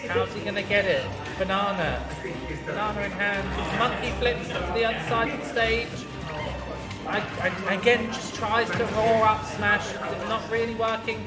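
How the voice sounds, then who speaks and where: A hoarse man giving solo play-by-play commentary on a fighting game match, with quick analysis and dry self-mocking asides. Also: sits high, steady tone. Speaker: esports commentator. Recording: echoey room with loud music. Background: loud music.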